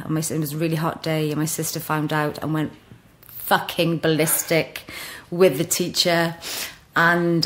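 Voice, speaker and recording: A middle-aged Welsh woman, a podcast guest, telling stories frankly with easy laughs. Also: low voice. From Wales. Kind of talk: casual chat. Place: office.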